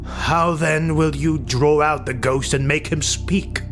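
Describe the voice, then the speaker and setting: Male reader as dark elf highborn, raised pitch, tense, sardonic, proud, bitter, fantasy audiobook, music behind. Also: fairly even intonation, slow. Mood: baffled. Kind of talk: fantasy audiobook character acting.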